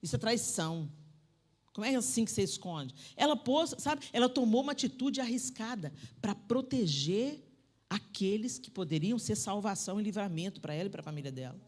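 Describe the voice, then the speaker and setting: A woman preaching a sermon in a church, talking to the audience directly and swinging between loud, emphatic declarations and soft asides. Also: mid-range voice, lively intonation, brisk. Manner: conversational, addresses the audience directly. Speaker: preacher at a women's conference. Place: church sanctuary.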